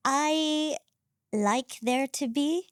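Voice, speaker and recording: A woman's voice: high-pitched, drawn-out words, dry recording, studio quality. A woman from Zambia, a guest on an interview, talking reflectively and thinking aloud with small restarts. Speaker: guest, entrepreneur coach. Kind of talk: conversational interview.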